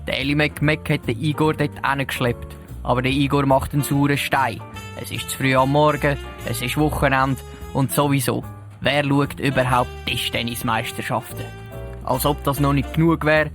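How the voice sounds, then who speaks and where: A man's voice: mid-range voice, a bit bright, natural voice. A man playing the wry narrator of a detective audio play, speaking Swiss German in a scripted storytelling style. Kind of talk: scripted narration over music. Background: faint music.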